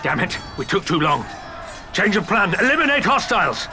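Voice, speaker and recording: An actor playing an imperial military officer in a video game, urgent and forceful. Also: male voice, high voice, very animated, normal speed, music in the background. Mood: barking orders. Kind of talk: English video game cutscene acting.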